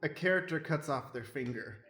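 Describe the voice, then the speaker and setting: A man's voice: on the high side, expressive, fairly quick. Male radio play narrator over music. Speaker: stage manager and narrator. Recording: echoing room with music behind.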